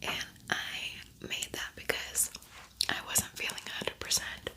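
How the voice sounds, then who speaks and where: A woman whispering softly and breathily as an ASMR host, lingering on and repeating her words. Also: unhurried, rough recording. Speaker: ASMR host doing a makeup tutorial.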